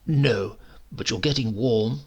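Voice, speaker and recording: A man voicing a sly, seedy uncle in his late fifties in a storybook reading, with a slow, insinuating, falsely genial manner. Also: mid-range voice, a little mellow, slightly rough, highs cut off. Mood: sly, teasing.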